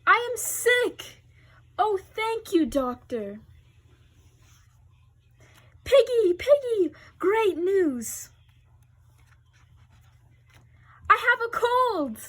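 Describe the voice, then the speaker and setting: A woman voicing an anxious, melodramatic male elephant in a storybook read-aloud, speaking haltingly with dramatic pauses. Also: very high-pitched, very animated, voice pushed up, full character voice. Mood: relieved, delighted. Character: anxious, melodramatic male elephant fretting over his allergy.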